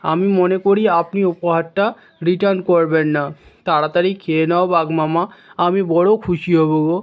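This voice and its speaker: A man's voice: sits high, flat delivery, normal speed, muffled recording, natural voice. A man voicing a meek, wheedling fox parent in a children's animal cartoon.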